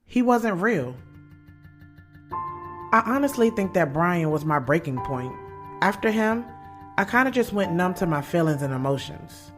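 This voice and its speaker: A woman playing a guarded, blunt young woman author in an audio drama, speaking frankly and with slangy sass about her love life. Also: lowish voice. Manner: candid, slangy, sassy. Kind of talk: first-person audio drama narration and dialogue. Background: music bed.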